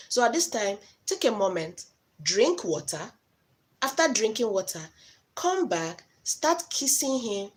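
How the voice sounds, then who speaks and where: Nigerian woman, Igbo native speaker, live-stream host, frank and chatty, mid-range voice. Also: mid-range voice. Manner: frank, chatty, direct advice to viewers. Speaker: host giving relationship advice. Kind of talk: casual live-stream talk.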